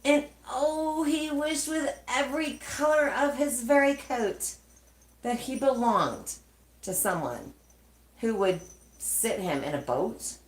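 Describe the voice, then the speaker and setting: A woman reading a children's storybook aloud expressively, with measured, dramatic phrasing and pauses. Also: high voice. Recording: echoey, roomy sound.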